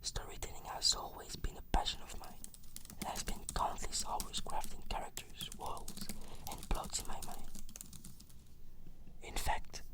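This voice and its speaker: A whispered ASMR voice giving scripted, fluent answers as a patient in a roleplay, in a measured, reflective manner.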